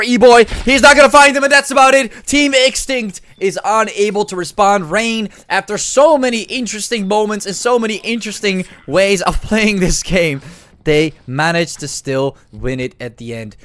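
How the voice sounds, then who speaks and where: A man's voice: high voice, moderate pace, a bit bright. A man with a Dutch accent casting an esports match and hosting the stream, chatty with many fillers. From Netherlands. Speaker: esports caster, stream host. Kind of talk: live esports commentary and post-match chat.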